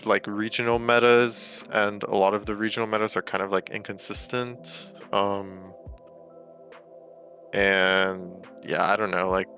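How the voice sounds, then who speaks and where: Gravelly young American man, bright tone. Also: fairly low pitch, level tone, a little slow, soft background music. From United States. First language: Romanian. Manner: filler-heavy, loose and rambling. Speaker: guest, professional GeoGuessr player. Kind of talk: casual chat.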